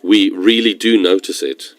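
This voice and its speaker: A man interpreting a parliamentary speech live, talking briskly and correcting himself on the fly. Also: deep voice, steady tone, crowd noise. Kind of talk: simultaneous interpretation.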